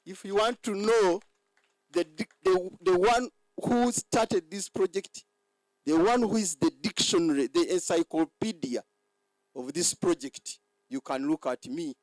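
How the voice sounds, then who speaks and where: A hoarse man giving a boastful ceremony speech as general manager, halting and repeating words. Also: high voice, a little mellow, no room sound, poor audio.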